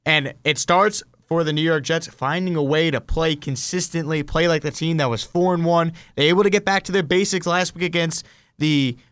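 A man's voice: on the high side, fairly even intonation, normal speed, a bit bright, clear, resonant tone, no echo, studio quality. An American man hosting a sports talk show, giving fluent, emphatic football analysis. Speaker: sports podcast host.

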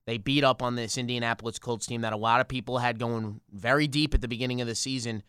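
An American man gives conversational sports analysis as a podcast co-host. His mid-range voice is clean and smooth, with a very dry recording. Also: mid-range voice, fairly even intonation, fast, a bit bright, no echo.

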